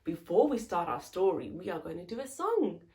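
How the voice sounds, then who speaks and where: A woman's voice: lowish voice, sing-song, moderate pace, a little mellow. A woman hosting a story time, reading aloud expressively to young children in simple phrases and putting questions to them.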